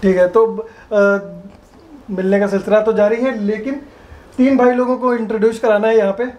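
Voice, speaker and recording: A man's voice: high-pitched, steady tone, moderate pace, a little mellow. A man from Uttar Pradesh, around his thirties, chatting casually and animatedly to a handheld camera as a vlogger in a hotel room. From Uttar Pradesh, India. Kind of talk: casual vlog chat. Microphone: camera held at arm's length. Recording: some background room noise.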